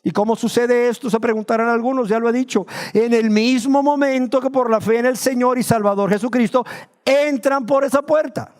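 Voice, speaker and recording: A man preaches in a fairly high voice. He keeps asking his listeners questions and then answers them himself. He stretches out the words he wants to stress. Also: steady tone, darkish tone. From Nicaragua. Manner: rhetorical questions, slows to stress key words. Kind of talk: sermon.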